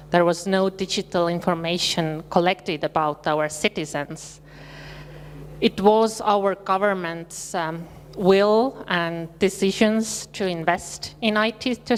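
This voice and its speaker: A woman from Estonia with an Estonian accent, giving a conference presentation in a measured, slightly hesitant way. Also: on the low side. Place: conference hall. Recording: reverberant hall with faint hum.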